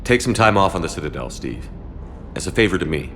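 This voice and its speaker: An actor playing a steady, authoritative military commander in a video game, speaking in a direct, clipped manner. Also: male voice, low-pitched, fast, slight background noise, full character voice. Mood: encouraging. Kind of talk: video game dialogue acting.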